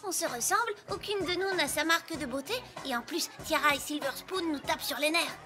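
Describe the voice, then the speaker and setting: A woman's voice: sits high, a little fast, music in the background, lightly acted. A woman voicing a bold tomboy filly pony in a French cartoon dub, punchy and spirited. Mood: enthusiastic.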